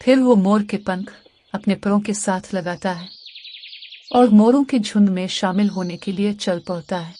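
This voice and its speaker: A woman's voice: mid-range voice, a little slow, mellow. Woman narrating a children's fable, faint noise. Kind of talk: scripted children's story narration.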